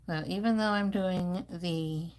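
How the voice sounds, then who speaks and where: A woman's voice: lowish voice, slow pace, a little mellow. A woman giving casual, rambling live commentary as she paints, thinking aloud like an informal art teacher.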